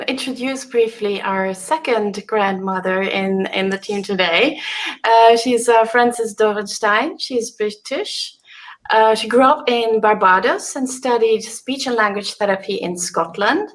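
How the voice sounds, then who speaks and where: A woman's voice: on the high side, measured pace, fairly bright tone. A woman hosting a live online panel, introducing guests and asking polite questions with some "uh" fillers. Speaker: host. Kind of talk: live video panel moderating.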